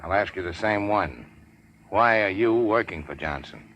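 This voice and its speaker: A man's voice: deep voice, animated, normal speed, a bit bright. An actor playing a hard-boiled, cynical henchman in a radio crime drama, with clipped, tough, sardonic delivery. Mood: curt, needling. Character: hard-boiled cynical male racketeer's henchman, curt and controlling. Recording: muffled, noisy old radio recording.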